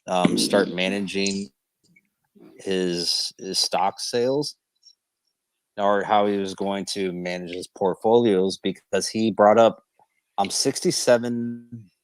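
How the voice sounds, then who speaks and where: A man's voice: sits low, fairly even intonation, slow pace, clear, resonant tone, no echo, poor audio. A man in his late thirties arguing casually on a group call, calling others bro and man. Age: 30s. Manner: casual, calls people bro and man, defensive. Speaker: call participant. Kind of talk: heated debate on a group call.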